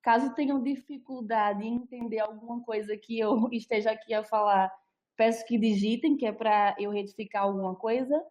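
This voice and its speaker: A woman's voice: on the high side, steady tone, normal speed, darkish tone. A young adult woman with a Brazilian Portuguese accent, a nutritionist presenting an online talk in a friendly, explanatory way with frequent fillers.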